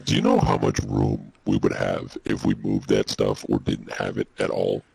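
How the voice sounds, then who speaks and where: A man's voice: huge pitch swings, normal speed, darkish tone, no room sound, heavily acted, rough recording. A man voicing a dry, skeptical male robot co-host who takes things literally, in a comedic in-character podcast. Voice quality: very deep gravelly voice.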